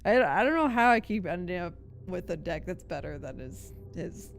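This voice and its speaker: A woman's voice: fairly low pitch, expressive, moderate pace, vocal fry, slight background noise. A woman streaming a game and chatting casually as she plays. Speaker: live streamer.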